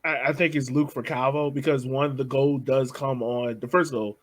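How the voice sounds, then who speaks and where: A man's voice: mid-range voice, fairly even intonation, moderate pace. A man co-hosting a podcast, chatting casually about soccer substitutions and often saying "like.". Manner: casual, uses "like" a lot. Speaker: podcast co-host.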